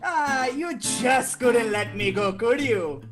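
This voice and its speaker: Someone playing a slow, taunting, gleeful clown villain. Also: medium pitch, expressive. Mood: gleeful menace. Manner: taunting, drawn-out phrasing, giggly. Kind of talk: comic table read of a film script. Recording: live guitar music behind online reading. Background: plucked guitar music.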